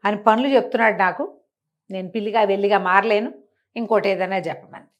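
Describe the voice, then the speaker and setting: A woman's voice: medium pitch, fairly even intonation, fast, lo-fi sound, lightly acted. A woman storyteller voicing an irritable, pushy female demon who scolds and complains. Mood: complaining.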